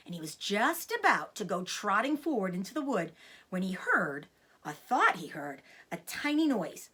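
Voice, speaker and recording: A woman narrates a children's storybook in the manner of a teacher reading to a class. Her pitch moves widely for suspense and drama. The voice has a light huskiness. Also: pushed and bright, breathy edge.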